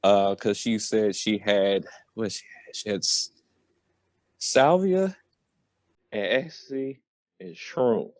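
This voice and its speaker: A man's voice: on the low side, takes it slowly, pauses often, a little mellow, no room sound. A man telling a story on a podcast in a casual, rambling, slangy way. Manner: colloquial, slangy.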